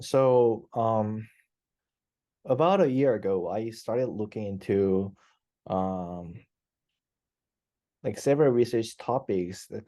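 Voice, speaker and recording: Low-voiced young Korean man on a call. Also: warm tone. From South Korea. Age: 20s. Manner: hesitant, many fillers and restarts, laughs easily. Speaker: PhD student guest. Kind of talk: casual interview chat. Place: office, indoors. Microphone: headset on video call.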